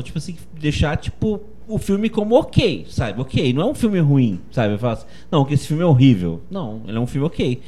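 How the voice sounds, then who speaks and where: A Brazilian man chatting casually as a panelist about films, informal and halting, often checking in with tag questions. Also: on the high side, mellow. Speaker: podcast panelist. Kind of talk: casual film discussion.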